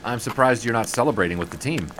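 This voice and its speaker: An actor playing a keen, wry 1920s newspaper sportswriter in a polished, wordy period audio drama style. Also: male voice, mid-range voice, animated, quick pace, noisy background, lightly acted. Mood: eager, cheeky. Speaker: narrator and reporter.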